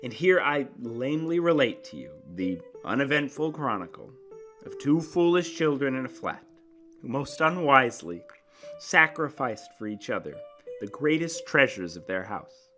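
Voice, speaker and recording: A man narrating a literary story as a dramatic reading, with theatrical, deliberate emphasis. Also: medium pitch, very animated, pushed and bright, faint music behind.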